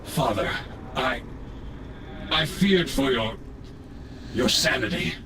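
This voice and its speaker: A man playing an anxious, devoted knight son in a fantasy game, earnest and halting. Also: medium pitch, lively intonation. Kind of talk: fantasy game cutscene acting. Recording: noisy game ambience.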